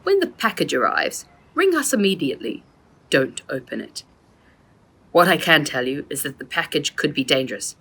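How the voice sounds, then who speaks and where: Woman playing a stern, husky-voiced male police officer. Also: low-pitched, sing-song, moderate pace, full character voice. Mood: stern, warning. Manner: sharp, clipped, commanding.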